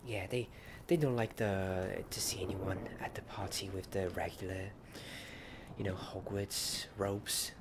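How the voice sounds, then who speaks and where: A man playing a friendly, secretive wizard-school companion in an ASMR roleplay, speaking in a hesitant, confiding way and often dropping to a whisper. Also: lowish voice. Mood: coaxing. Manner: hesitant, halting, hushed and confiding. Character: friendly, secretive Hogwarts companion eager for a ghost party. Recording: clearly noisy ambient background.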